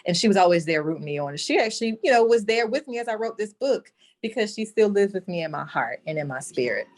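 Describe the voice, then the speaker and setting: A woman's voice: medium pitch, sing-song, quick pace. A woman speaking as an author and interview guest, telling a personal story in an earnest, reflective way.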